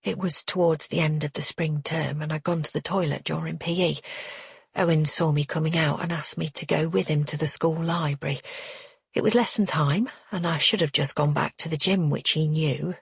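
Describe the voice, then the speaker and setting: A tactful married woman in an audiobook story, voiced by a female narrator. She speaks in a cautious, confiding way, with a slightly husky tone and a dry, close sound. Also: sits low, fairly even intonation, moderate pace, no echo, full character voice. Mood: confiding, hesitant.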